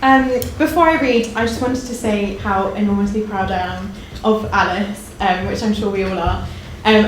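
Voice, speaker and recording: Female guest reader, mid-range voice, personal tribute and verse, strongly reverberant room. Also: medium pitch. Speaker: guest poetry reader and friend. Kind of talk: personal tribute and poetry reading. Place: indoor live launch event. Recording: very echoey hall, faint background noise.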